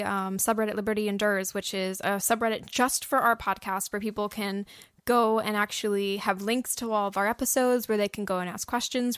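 A woman's voice: mid-range voice, a bit bright, no echo, clean studio sound. A young woman co-hosting a podcast, giving casual, earnest and encouraging advice. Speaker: podcast host and audio drama producer. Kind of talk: casual how-to podcast talk.

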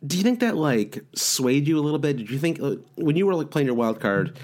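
A man's voice: a little high, big pitch swings, fairly quick, darkish tone. A man from the American Midwest with a head cold and a nasal Midwestern accent, hosting a casual podcast chat and rambling with lots of fillers and restarts. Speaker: podcast host.

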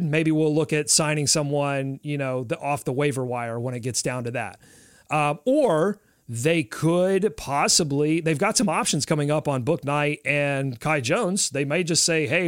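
A man's voice: on the high side, no echo, studio quality. A man co-hosting a sports podcast in casual discussion, conversationally thinking aloud as he talks.

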